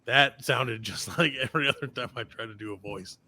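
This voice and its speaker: A man's voice: medium pitch, a little fast, bright, forceful tone. A man co-hosting a comedy podcast, reading out odd news stories with jokey asides in casual banter. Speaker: podcast co-host.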